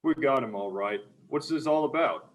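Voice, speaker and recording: A man's voice: mid-range voice, expressive, normal speed, natural voice. A man playing a curt, stern police detective giving orders in a radio play. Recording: dull lo-fi virtual recording.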